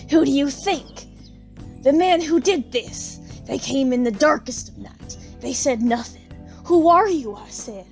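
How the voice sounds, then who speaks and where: A woman's voice: sits high, lively intonation, unhurried, faint music behind. A woman playing a poor, plain-spoken country widow, bitter and unsentimental, in an audio drama. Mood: agitated.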